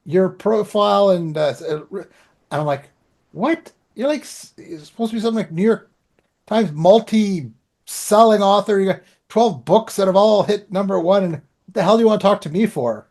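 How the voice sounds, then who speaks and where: A man with a slightly high voice chats as a podcast co-host. His talk is loose and colloquial, built on anecdotes and little acted-out exchanges. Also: expressive, moderate pace, darkish tone. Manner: colloquial, acts out remembered exchanges. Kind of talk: casual anecdotal podcast chat.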